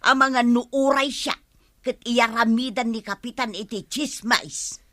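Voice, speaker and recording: Bright, high village gossip. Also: female voice, a little slow, dry recording, studio quality. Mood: plaintive. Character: gossipy, complaining village woman confiding in friends. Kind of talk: Ilocano radio comedy drama acting.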